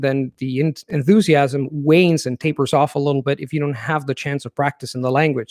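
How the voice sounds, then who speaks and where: A man hosting a live viewer Q&A. His voice sits a little high for a man, and his explanations are earnest and long. The sound is dry and close, with no room echo. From Italy. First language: Italian. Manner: thoughtful and earnest, explaining at length. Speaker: language-learning channel host. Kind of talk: live Q&A, reading viewer questions and answering.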